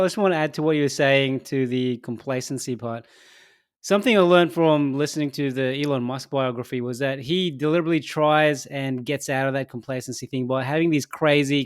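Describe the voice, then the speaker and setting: A young man co-hosting a casual podcast who speaks English as a second language, thinking aloud with pauses and restarts. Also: mid-range voice. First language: not English. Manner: thoughtful, halting, laughs easily. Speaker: podcast co-host.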